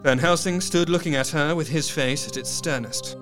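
An actor playing a melancholy, dutiful doctor, narrating his diary in a measured, formal way for an audio drama. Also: a little high, music in the background, natural voice. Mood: uneasy.

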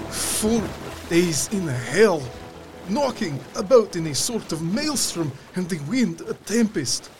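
An actor playing a weary, fearful sea captain in an audio drama, reading his log in grave, halting, clipped phrases. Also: high-pitched, exaggerated voice. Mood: exhausted. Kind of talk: dramatic first-person log narration.

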